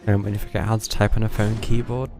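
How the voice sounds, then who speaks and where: A man's voice: on the low side, level tone, fast. A man giving casual, dryly wry, muttered commentary as he streams a video game. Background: video game music.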